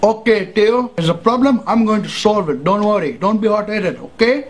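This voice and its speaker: A man's voice: high-pitched, normal speed, a little hiss or hum, pitched above the performer's own voice, lightly acted. A man voicing a soothing, eager male phone supervisor with an Indian accent in a comedy sketch. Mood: reassuring.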